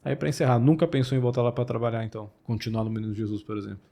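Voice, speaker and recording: A man's voice: mid-range voice, little pitch movement, rapid-fire, mellow. A man hosting a casual podcast interview, asking short questions and laughing along with his guest. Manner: brief backchannels, laughs along. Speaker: podcast host.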